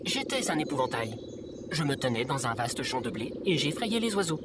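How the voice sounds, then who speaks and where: A man's voice: mid-range voice, very animated, moderate pace, heavy background noise, lightly acted. Man playing a cheerful, swooping robot scarecrow. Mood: cheerful, earnest. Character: cheerful tin-man robot scarecrow, courteous and proudly contented. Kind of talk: audio fiction acting.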